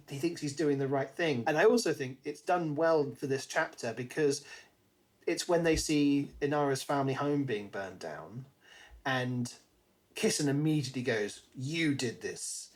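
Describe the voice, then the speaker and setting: A man co-hosting a podcast, chatting casually about a book and thinking aloud as he goes. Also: mid-range voice. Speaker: podcast co-host.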